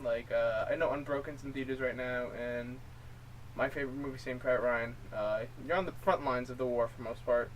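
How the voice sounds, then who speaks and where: A man talks casually as the co-host of a film review show, explaining his opinions in a mid-pitched voice. He sounds a little distant from the microphone, with faint noise in the recording. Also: steady tone, fairly quick.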